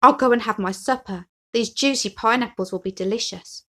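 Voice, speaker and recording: Lady doing a little boy penguin from a kids storybook, feels the cold, really expressive and exclaimy. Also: on the high side, a little fast. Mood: stoical. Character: excitable, chilly little boy penguin, easily discouraged.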